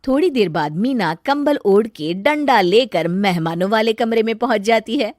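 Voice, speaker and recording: A woman's voice: fairly high pitch, animated, moderate pace, darkish tone, no echo, clean studio sound. A woman giving scripted narration for a cartoon story.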